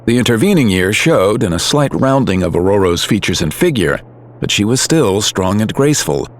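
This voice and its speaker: A man narrating a scripted audio drama in a serious, measured storytelling manner. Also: on the low side, big pitch swings.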